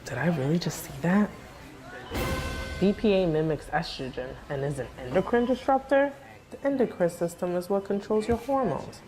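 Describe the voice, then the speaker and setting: A woman's voice: lowish voice, moderate pace, warm tone. A woman giving a scripted informational narration in a conversational, emphatic way. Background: music.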